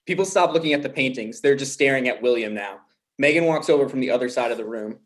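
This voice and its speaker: A man reading the stage directions at a table read in a plain, even voice. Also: medium pitch, fast.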